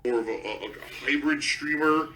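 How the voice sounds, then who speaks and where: A man with a raspy voice, a gaming streamer talking casually and reflectively to his viewers. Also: a little high, normal speed, bright, forceful tone.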